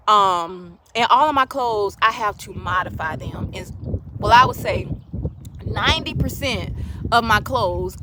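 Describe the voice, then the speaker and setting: A woman's voice: mid-range voice, background noise. A woman in her late thirties talking casually to her viewers, sharing opinions emphatically and repeating phrases for emphasis. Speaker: livestream host.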